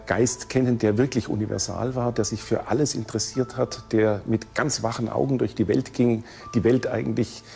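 A man's voice: medium pitch, vocal fry, darkish tone. A man speaking as an academic expert in an interview, explaining things thoughtfully. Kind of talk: interview commentary.

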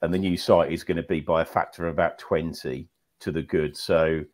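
A man's voice: a bass voice, steady tone, brisk, a little mellow. A man on a fan podcast panel over a video call, rambling with restarts and lots of "you know". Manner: rambling, repeats words, many "you know"s. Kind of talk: casual fan podcast chat. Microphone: video call with earphones.

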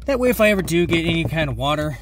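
A man with a slightly high voice narrates a how-to install as host of his own video. He is plainspoken and easygoing. There is faint vehicle noise behind him. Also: sing-song, very fast. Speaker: host of a DIY vehicle channel. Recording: noisy, vehicle ambience behind.

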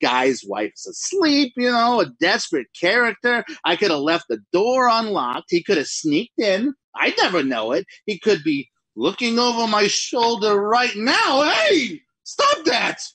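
Nervous writer muttering to himself, all over the place pitch-wise, kinda husky, 1940s radio play. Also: male voice, sing-song, a little slow, few pauses, bright, forceful tone, no echo, heavily acted. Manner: rambling, self-mocking, jumpy. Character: jittery lone male writer talking to himself.

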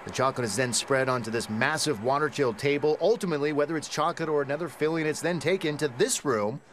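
A man with an American accent narrating a scripted TV news feature in a polished, measured broadcast delivery. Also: fairly high pitch, a little hiss or hum. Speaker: TV news reporter.

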